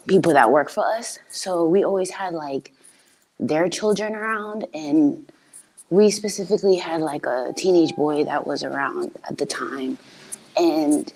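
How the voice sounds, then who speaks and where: Low-voiced, hesitant young woman caller. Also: poor audio. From Haiti. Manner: hesitant, many ums, soft-spoken.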